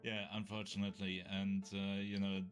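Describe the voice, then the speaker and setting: A man with a German accent chats casually as a podcast co-host. His voice sits very low. He often stops to search for words, and faint music plays in the background. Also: completely flat delivery, normal speed. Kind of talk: casual chat.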